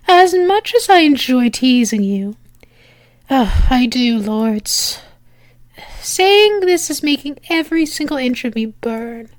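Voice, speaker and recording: Woman voicing a female demon, high voice, slow pace, teasing, lovestruck, halting delivery, intimate ASMR roleplay. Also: no room sound. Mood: fond, reluctant. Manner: hesitant, halting, teasing endearments.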